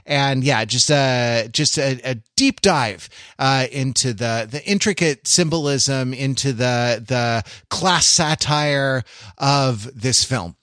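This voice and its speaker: A man co-hosting a podcast in casual banter, talking in a halting, rambling way with wry asides. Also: mid-range voice, fairly bright tone, no echo, clean studio sound. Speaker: podcast co-host.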